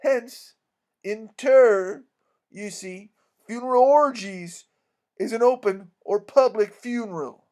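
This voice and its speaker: A man voicing a pompous, long-winded con man who poses as an English gentleman, from a storybook. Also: high voice, slow pace, voice pushed up. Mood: pompous, explaining. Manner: long-winded, self-important, folksy misused learned words.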